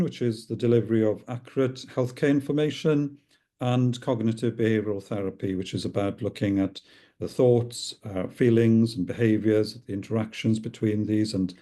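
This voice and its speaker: Halting, mellow-voiced man. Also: medium pitch. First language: Welsh. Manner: halting, with many fillers and restarts. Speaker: clinical researcher. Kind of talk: recorded talk.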